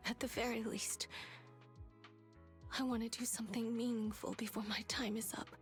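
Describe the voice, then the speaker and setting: A woman plays an ailing young girl from a video game, high in pitch and grave. She speaks haltingly, with resigned selflessness. Faint music sits behind her voice. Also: little pitch movement, lightly acted. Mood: resigned, determined.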